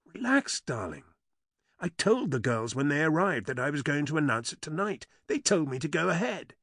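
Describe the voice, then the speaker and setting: Beaming, self-assured fiancé voiced by the male narrator; husky timbre, dry booth. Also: a little high, sing-song, moderate pace, fairly bright tone, no echo, exaggerated voice. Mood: breezy. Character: confident, doting man announcing his engagement.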